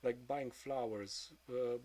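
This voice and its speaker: A husky-voiced man of twenty-two talks to camera in a flat, nearly monotone way. He is blunt and swears often, and his sentences keep sinking into quiet mutters. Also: medium pitch, slow. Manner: subdued, trailing off into quieter mutters; frank, with frequent swearing. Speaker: vlogger. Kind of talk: confessional monologue.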